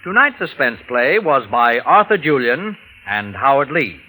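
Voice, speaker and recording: Male vintage radio announcer, extremely bright and sharp, with lively intonation for show credits. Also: mid-range voice, measured pace, low-quality recording. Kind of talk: 1940s radio closing announcement.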